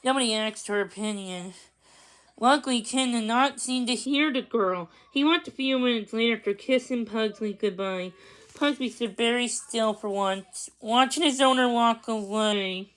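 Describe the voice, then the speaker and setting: A woman reading a children's story aloud, expressive and a little halting, with small stumbles. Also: a little high, poor audio. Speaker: storybook reader.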